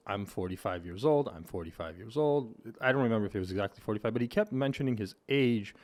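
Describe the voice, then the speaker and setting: A man's voice: mid-range voice, darkish tone. A man in his thirties hosting a podcast from a home studio, talking in a thoughtful, rambling way with restarts. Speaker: podcast host. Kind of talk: casual interview chat. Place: home studio with hexagon wall panels. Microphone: boom-mounted studio mic with headphones.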